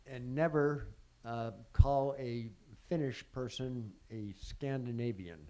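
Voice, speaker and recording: A man's voice: mid-range voice, slow, mellow. A man in a class speaking up from the group with casual personal remarks. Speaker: class attendee. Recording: roomy, echoing, clearly noisy pickup.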